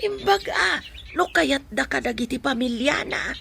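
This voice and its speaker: A woman's voice: sits high, lively intonation, normal speed, creaky, a bit bright, slight background noise, heavily acted. An actress with a Filipino accent playing a doubtful, practical mother of a grown son in a radio drama. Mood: worried. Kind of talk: Ilocano radio drama acting.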